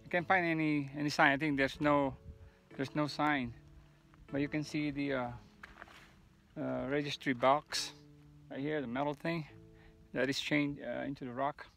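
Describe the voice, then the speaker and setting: A man in his thirties filming himself on a mountain hike, talking in a casual, unhurried way with many fillers and restarts, recorded on a phone held at arm's length outdoors. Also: on the high side, level tone, vocal fry, a bit bright, soft background music. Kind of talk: casual hiking vlog narration.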